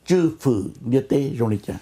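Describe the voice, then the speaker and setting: A man's voice: medium pitch, animated, brisk, dark tone. A man speaking spontaneously in a discussion on a conference call.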